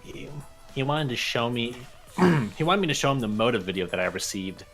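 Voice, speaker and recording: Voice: mid-range voice, big pitch swings, moderate pace. A performer in an amateur game fan dub voicing a guarded, defensive young woman in a blunt, dismissive manner. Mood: curt, defensive. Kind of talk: fan dub of an anime detective game, breaking into ad-libs. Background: background music.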